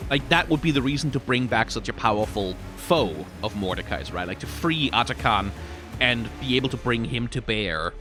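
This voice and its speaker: Man rambling through casual commentary over music. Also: medium pitch. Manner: rambling, thinking aloud, often checks with "right?". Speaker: streamer giving commentary on game lore. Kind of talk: casual off-the-cuff analysis.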